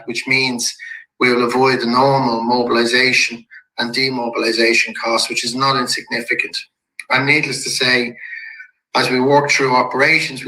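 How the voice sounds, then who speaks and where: A man with a slightly croaky voice from a head cold, a company executive calmly walking shareholders through an investor presentation slide by slide. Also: medium pitch. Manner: measured and businesslike, with occasional restarts. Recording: echoey room.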